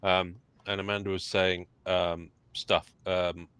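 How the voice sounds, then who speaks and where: A man's voice: low-pitched, level tone, slow pace, a bit bright, clean tone, dry recording. An English man co-hosting a live podcast, chatty and joking, a little throaty from a cold. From England. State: getting over a cold, throaty. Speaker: podcast co-host. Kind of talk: casual live-stream chat.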